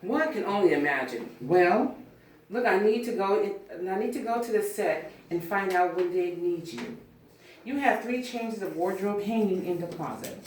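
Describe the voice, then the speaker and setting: Lady reading a friendly no-nonsense assistant director, medium pitch, echoey and a bit far from the mic. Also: lively intonation, normal speed, a little mellow, lightly acted. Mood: chatty. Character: brisk, friendly female first assistant director. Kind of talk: cold table read of a film script. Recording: roomy, distant mic, faint noise, clicks.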